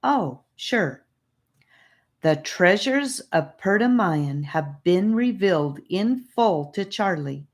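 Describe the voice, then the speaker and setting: A woman's voice: medium pitch, animated, takes it slowly, pitched above the performer's own voice. A woman voicing an earnest, wild young girl messenger in an audiobook, with an urgent, emphatic tone. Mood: earnest, worried. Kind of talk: audiobook character voice.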